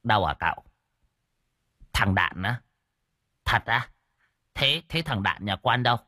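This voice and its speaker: A man's voice: lowish voice, animated, unhurried, bright, forceful tone, dry recording, clean studio sound, exaggerated voice. A man voicing an anxious, gullible older village man who stammers out questions in a story.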